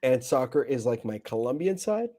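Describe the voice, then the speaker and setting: An American man hosts a casual podcast with a mellow, soft-edged voice. He rambles through stories in loose slang, drifting off on tangents and swearing freely. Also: medium pitch, sing-song, moderate pace. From Nebraska, United States. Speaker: podcast host.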